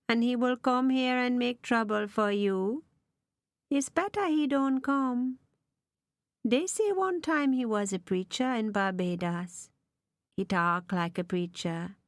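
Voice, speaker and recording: A woman's voice: medium pitch, clean tone, no echo, lightly acted. A woman voicing a sly, gossiping servant woman, talking in a knowing way.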